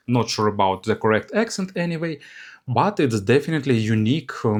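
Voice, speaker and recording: A man with a Ukrainian accent reviewing earphones and unboxing them, pausing often on "uh" fillers. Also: lowish voice. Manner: unhurried, halting, many "uh" fillers.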